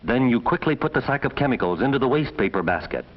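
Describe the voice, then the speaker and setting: A man narrating a 1950s educational film as a stern, measured voice reproachfully addressing schoolboys. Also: mid-range voice, very animated. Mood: grave, reproachful. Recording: dull vintage 1950s film soundtrack.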